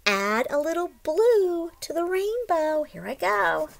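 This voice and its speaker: A woman in her forties reading a picture book to young children in an expressive, sing-song, deliberate way, like a teacher, recorded at home on a webcam microphone. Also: very high-pitched, gravelly. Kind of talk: read-aloud lesson for young children. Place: small room at home, indoors.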